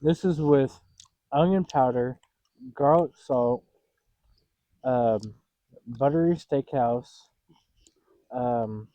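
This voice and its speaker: Mellow-voiced man chatting at a campfire. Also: mid-range voice, extremely slow, pauses often, no room sound, dull audio. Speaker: guest vlogger. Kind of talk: casual chat. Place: outdoors at a campground at night.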